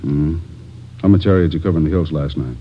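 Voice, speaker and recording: A senior police chief in vintage radio drama. He is deep-voiced, quick and businesslike, with clipped phrasing. The recording is muffled and slightly noisy. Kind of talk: 1950s radio drama acting.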